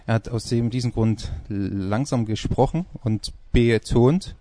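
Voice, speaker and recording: Male radio presenter, mid pitch, soft dark tone, halting informal delivery, very dry close sound. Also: no room sound. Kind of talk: informal spoken presentation on a music radio show.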